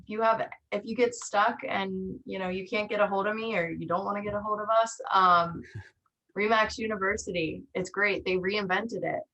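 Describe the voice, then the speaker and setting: A woman's voice: medium pitch, fairly even intonation, normal speed, bright, forceful tone, dull audio. A woman chatting in an encouraging, informal way as co-host of an online team meeting. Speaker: real estate trainer co-hosting a meeting.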